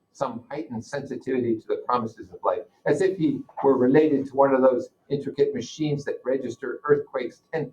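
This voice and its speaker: A man teaching a class, reading a novel aloud and breaking off to explain it informally and put questions to his listeners. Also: medium pitch. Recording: dull, lo-fi audio.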